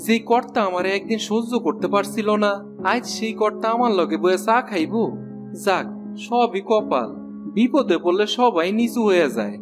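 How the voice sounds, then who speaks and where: A man's voice: sits high, lively intonation, moderate pace, music in the background. A man with a rural Bangladeshi accent playing a humble, forgiving poor village husband in a cartoon, plain-spoken and deferential. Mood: earnest. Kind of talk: cartoon dialogue acting.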